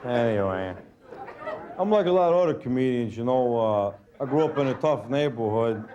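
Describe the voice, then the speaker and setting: A middle-aged man with a Brooklyn accent doing a laid-back, deadpan stand-up set, full of "you know" and "uh" fillers. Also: medium pitch, moderate pace, highs cut off, crowd noise. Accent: Brooklyn New York. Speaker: stand-up comedian. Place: comedy club stage with laughing audience. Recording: live club recording with audience laughter and room noise.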